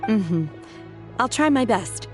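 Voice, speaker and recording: An actress playing a reserved, devoted young disciple in a video game, with restrained, earnest delivery. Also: female voice, on the high side, animated, takes it slowly, faint music behind. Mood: calm, reserved. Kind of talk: scripted video game character dialogue.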